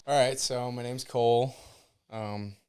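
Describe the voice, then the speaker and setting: Very smooth-voiced laid-back young man. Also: mid-range voice, steady tone, a little slow, a little mellow. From New Jersey shore. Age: 20s. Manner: laid-back, modest, easygoing. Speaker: podcast guest. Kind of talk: casual podcast chat. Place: small podcast studio.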